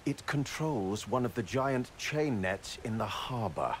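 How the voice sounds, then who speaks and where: An actor playing an exasperated, by-the-book commander in a video game. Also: male voice, mid-range voice, lively intonation, unhurried, slight background noise, close to an everyday voice. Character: stiff, rule-bound male military commander.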